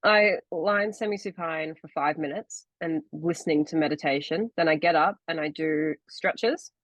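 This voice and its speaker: Young woman, podcast co-host, chatty, explanatory, lower voice, dry sound. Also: moderate pace, no room sound, low-quality recording. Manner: chatty, explanatory, lots of fillers. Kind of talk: casual chat. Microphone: video call.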